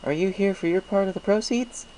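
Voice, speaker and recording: A man's voice: high voice, brisk, dark tone, a little hiss or hum, voice pushed up, close to an everyday voice, rough recording. A man voicing a cheerful, chatty male pony baker with an eager, folksy shopkeeper manner in a cartoon fan story. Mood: cheerful, welcoming.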